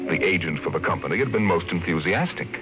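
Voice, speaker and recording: A man narrating a 1950s radio drama in a measured, dramatic way. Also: low voice, lively intonation. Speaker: announcer and narrator. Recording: dull 1950s radio recording over loud music.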